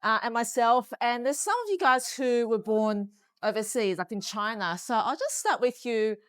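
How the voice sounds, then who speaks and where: A woman's voice: fairly high pitch, expressive, normal speed, clear, resonant tone, no echo, clean studio sound. A woman, hosting a group interview and asking upbeat, enthusiastic questions. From Australia. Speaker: host.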